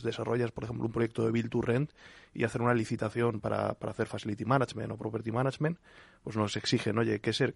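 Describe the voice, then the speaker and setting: A man's voice: medium pitch, gravelly, breathy edge, no echo. A man with an accent from Spain talking spontaneously as a business panelist, often ending phrases with a checking tag. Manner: ends phrases with checking tags. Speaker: property company executive on a panel.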